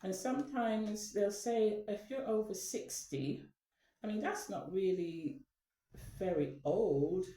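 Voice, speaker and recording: A woman's voice: medium pitch, slow pace, mellow, recorded from a distance. A woman talking casually to her viewers, rambling with many fillers and restarts. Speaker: channel host. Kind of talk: casual spoken talk. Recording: echoey room, away from the mic.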